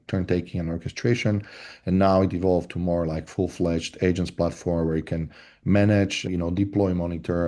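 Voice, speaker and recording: A man from Slovakia speaks in a low, warm, relaxed voice. He talks haltingly, restarting phrases often. He sounds like he is on a video call. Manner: halting, many restarts and fillers. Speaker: voice agent platform lead. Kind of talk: casual panel discussion.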